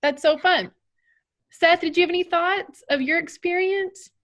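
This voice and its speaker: A woman's voice: very high voice, steady tone, measured pace, a bit bright. A woman drama therapist, leading a group discussion and inviting each person to share. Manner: encouraging, asks follow-up questions.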